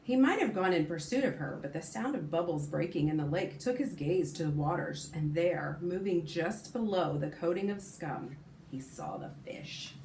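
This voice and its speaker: A woman teacher reads a novel aloud to her class in a low-pitched voice, expressive and now and then stopping to comment or fix a slip. The recording sounds roomy and echoey, with a faint hiss underneath. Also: moderate pace. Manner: expressive, stops to comment and correct herself.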